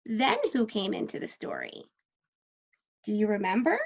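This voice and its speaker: A woman teacher talking young children through a read-aloud lesson, asking questions, leaving pauses for answers and encouraging them. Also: medium pitch, huge pitch swings, creaky. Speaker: elementary school teacher. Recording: dull lo-fi sound.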